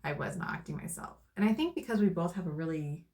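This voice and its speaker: A woman in casual conversation with her partner, thoughtful and soft-spoken. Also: on the low side, very animated, fast talker. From Arizona, USA. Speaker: co-host.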